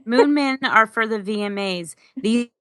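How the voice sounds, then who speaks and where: A woman's voice: a little high, a little slow, a bit bright, no echo, studio quality. A woman around thirty, chatting casually as a podcast co-host with an opinionated, playful manner. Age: 30s. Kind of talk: casual chat.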